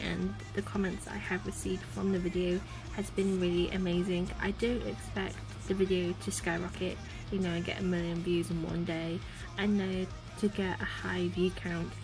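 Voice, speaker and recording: A young woman with a slightly croaky voice from a sore throat, talking earnestly to camera in a casual vlog, full of "you know" fillers. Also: on the low side, poor audio. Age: young adult. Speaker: vlogger. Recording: music playing in the background.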